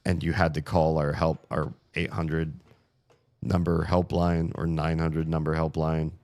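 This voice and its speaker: A man streaming a puzzle game, grumbling sarcastically about the puzzles while his voice is going hoarse. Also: extremely low pitch, flat delivery, a little slow, darkish tone, dry recording. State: losing his voice. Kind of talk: casual live-stream commentary.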